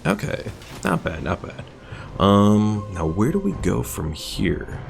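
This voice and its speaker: A man's voice: on the low side, sing-song, slow, gravelly, over music. A man casually commentating his strategy game on a live stream, thinking aloud, reading out stats and chat comments with plenty of fillers.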